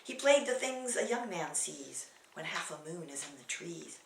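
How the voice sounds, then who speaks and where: A woman in her sixties giving a dramatic poetry reading, theatrical, moving between emphatic bursts and hushed delivery. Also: on the low side, sing-song, normal speed, gravelly. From California, USA. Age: 60s. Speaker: poet reading own work. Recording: roomy echo, distant microphone.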